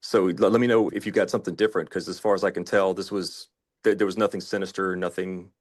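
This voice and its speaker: Male, low pitch, rapid delivery, emphatic, legalistic, argumentative juror. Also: fairly even intonation. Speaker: juror in a mock trial debate. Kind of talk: argumentative discussion.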